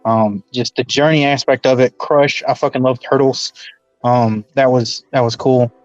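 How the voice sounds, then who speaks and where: A man in his twenties, co-hosting a podcast in casual chat, rambling with many pauses. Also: mid-range voice, fairly even intonation, no room sound. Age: 20s. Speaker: podcast co-host. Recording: dull dry lo-fi recording with faint music.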